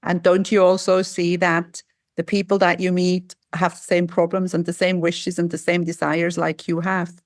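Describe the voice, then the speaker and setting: A woman's voice: on the low side, level tone, moderate pace, dry recording, clean studio sound. A woman from Switzerland hosting a podcast interview, chatty and rambling with frequent restarts. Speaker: podcast host. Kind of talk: casual interview.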